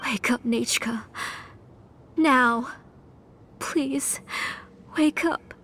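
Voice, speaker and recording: Obsessive grieving mother, video game drama, slow deliberate delivery, fairly high voice, solemn tenderness. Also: fairly bright tone, slight background noise, exaggerated voice. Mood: tender desperation. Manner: solemn, deliberate, tender toward her child. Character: grieving, obsessive mother determined to resurrect her dead child. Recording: music behind. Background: game music.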